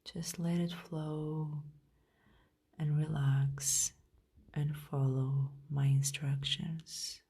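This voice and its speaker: A woman leading a guided meditation in a gentle, soothing, unhurried way with long pauses, her voice soft and at times breathy. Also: deep voice, flat delivery. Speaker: meditation guide, podcast host.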